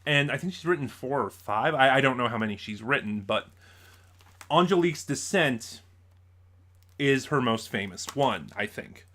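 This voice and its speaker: A man with a mid-pitched voice talks casually as a vlogger reviewing his purchases. He rambles through explanations and corrects his own wording as he goes. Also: lively intonation, normal speed, sharp tone. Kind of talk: casual unboxing chat.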